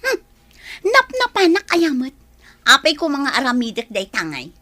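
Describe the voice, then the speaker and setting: A woman's voice: high-pitched, wildly swooping pitch, moderate pace, pushed and bright, full character voice. A woman playing a defensive, resentful, evasive woman in an audio drama, with an Ilocano Filipino accent. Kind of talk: amateur audio drama acting.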